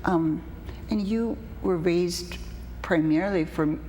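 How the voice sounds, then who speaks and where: A woman hosts a studio interview, asking questions in an unhurried, conversational manner with a slightly low voice. The room has a long echo and faint noise behind. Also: dark tone. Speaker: talk show host.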